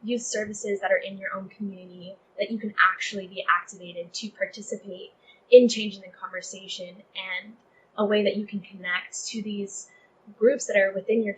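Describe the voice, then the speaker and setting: A woman's voice: mid-range voice, rough recording. Earnest young woman interviewed over background noise. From Texas, United States. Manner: earnest, with frequent "like" and "you know". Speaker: interview guest, playwright. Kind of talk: casual interview conversation.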